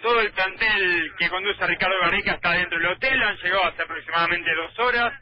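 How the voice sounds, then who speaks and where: A man's voice: high voice, fast. An Argentine man reporting live from location for a radio show. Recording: muffled live remote phone link.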